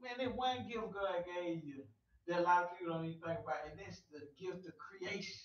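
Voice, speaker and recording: A man hosting a loose radio talk show, his voice pitched high for a man. He says "amen" a lot and slides into preachy little speeches. He sounds far from the mic, in a roomy space, with a dull lo-fi sound. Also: brisk. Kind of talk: casual talk radio chat.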